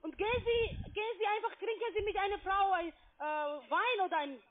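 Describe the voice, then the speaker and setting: Tinny phone call, high voiced woman w/ foreign accent, pushy call center agent arguing in halting german. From Saudi Arabia. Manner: insistent, pushy, halting non-native German. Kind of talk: argumentative phone conversation. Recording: tinny, narrow phone line.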